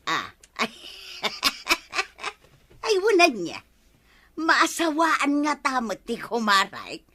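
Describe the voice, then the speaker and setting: A woman acting a nagging, sharp-tongued village wife in an Ilocano radio comedy, with scolding, emphatic delivery. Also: high voice, wildly swooping pitch, drawn-out words, background noise, heavily acted. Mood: bossy, gossipy.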